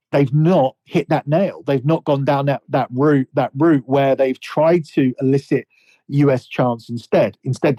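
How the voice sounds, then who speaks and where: A man with a mellow, warm mid-range voice breaks down a wrestler's character in steady, explanatory talk. He is close and dry on the mic, in a relaxed podcast setting. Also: mid-range voice, dry recording, highs cut off. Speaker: podcast co-host. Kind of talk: casual wrestling analysis.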